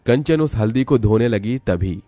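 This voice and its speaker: A man's voice: mid-range voice, animated, moderate pace, mellow, dry recording, soft background music. A man narrating a scripted cartoon story as a storyteller.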